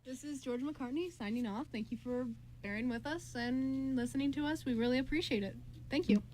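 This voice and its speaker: A woman's voice: high-pitched, brisk, mellow, rough recording. A chatty, giggly young woman co-hosting a casual student radio show, talking in a jokey way. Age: young adult. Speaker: radio show co-host and scriptwriter. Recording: noticeable background noise.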